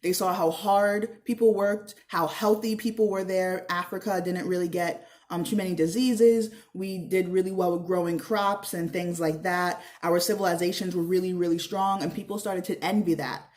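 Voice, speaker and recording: A woman's voice: lowish voice, fairly even intonation, moderate pace, hardly any pauses, a little mellow. A woman giving an educational read-aloud with explanatory asides, earnest and encouraging as she speaks directly to young listeners. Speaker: teacher reading a children's book aloud.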